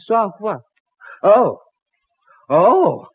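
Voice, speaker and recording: An actor playing a flustered, blustering middle-aged American husband who sputters and stammers, in 1940s radio comedy style. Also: male voice, high-pitched, very animated, drawn-out words, full character voice. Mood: flustered, protesting. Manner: sputtering, exclaiming, stammering when cornered. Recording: dull, lo-fi 1940s radio broadcast.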